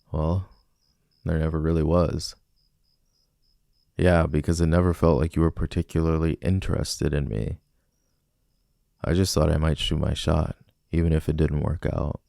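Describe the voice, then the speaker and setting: A man's voice: rumbling low, warm tone, no room sound, studio quality, full character voice. A man playing a smug, possessive villain who flirts intimately and teasingly with a civilian in a romantic ASMR roleplay. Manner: teasing, smooth-talking, intimate.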